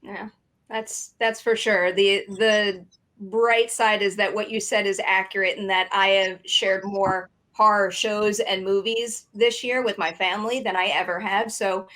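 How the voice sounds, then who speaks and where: A woman's voice: medium pitch, fairly even intonation, a little slow, bright, forceful tone, rough recording. A woman speaking as an interviewer, effusive and thankful in conversation.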